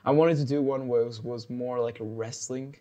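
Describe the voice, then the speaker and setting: A man's voice: medium pitch, fairly even intonation, a little fast, mellow. Rambling teen guy on a podcast. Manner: rambling, lots of fillers and restarts. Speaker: podcast co-host. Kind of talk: casual chat.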